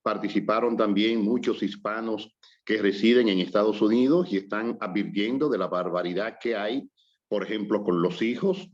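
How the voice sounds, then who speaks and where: A man from the Dominican Republic with a Dominican Spanish accent, speaking reflectively in a relaxed conversation, often pausing with fillers. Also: fairly low pitch. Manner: reflective, hesitant with fillers and restarts. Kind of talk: casual conversation on culture and language. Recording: slightly dull lo-fi audio.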